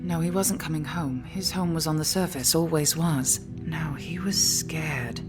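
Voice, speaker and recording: An actress playing an immortal woman leader in a sci-fi audio drama, intimate and hushed, tender yet weary and resolute. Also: low voice, unhurried, over music. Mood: grave. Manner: intimate, hushed, often slipping into whisper. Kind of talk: full-cast sci-fi audio drama acting.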